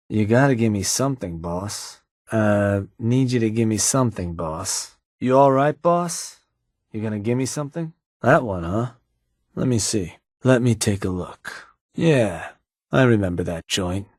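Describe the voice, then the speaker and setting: Casting: a laid-back raider gang fixer for a game, low voice, dry and matter-of-fact. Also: male voice, no room sound. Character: laid-back male raider fixer serving his boss. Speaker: gang fixer taking orders. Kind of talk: video game dialogue.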